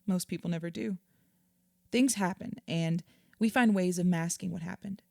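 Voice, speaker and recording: Audio drama: earnest female therapist, mellow tone, measured and reassuring, very dry studio-close sound. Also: on the low side, gravelly, no room sound. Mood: empathetic, explanatory. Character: patient, earnest female therapist counselling a client. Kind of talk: naturalistic audio drama acting.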